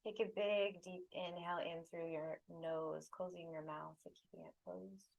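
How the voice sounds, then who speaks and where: A woman leading a guided meditation, giving soothing, unhurried instructions in short phrases with pauses between them. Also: lowish voice, fairly even intonation, gravelly, low-quality recording.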